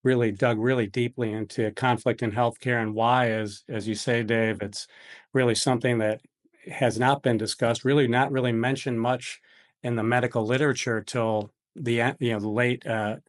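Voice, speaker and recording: A man's voice: mid-range voice, little pitch movement, normal speed. A middle-aged American man, a physician from Buffalo, answering interview questions conversationally in hesitant, meandering phrases. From Buffalo, New York, United States.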